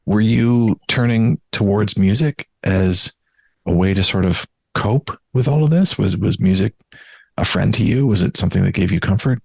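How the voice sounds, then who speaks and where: A man interviewing a guest with earnest, sympathetic questions. His voice is very low with a husky, gravelly edge. The sound is dry and close. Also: normal speed, no room sound. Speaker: podcast host.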